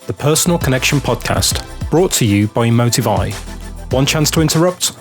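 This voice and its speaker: A man reading a scripted show intro as announcer, polished and even. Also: medium pitch, normal speed, soft background music. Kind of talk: scripted promo voice-over.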